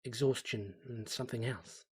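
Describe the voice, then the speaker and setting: A man's voice: mid-range voice, fairly even intonation, normal speed, breathy edge. A man playing a fearful, exhausted tourist, brooding uneasily through a diary in a horror story reading. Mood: weary fear.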